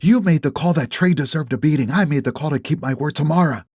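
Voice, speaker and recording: A man's voice: fairly high pitch, fast talker, a little mellow, slightly rough, no echo, clean studio sound, voice pushed up. A man voicing a tense, upset woman. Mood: quieter. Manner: tense, scolding. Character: middle-aged woman, upset and defensive.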